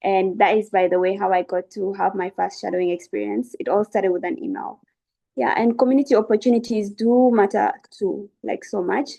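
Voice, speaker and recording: A woman's voice: medium pitch, steady tone, fairly quick, gravelly, warm tone, rough recording. A young woman giving an informal, encouraging educational webinar talk as a student speaker. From South Sudan. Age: young adult.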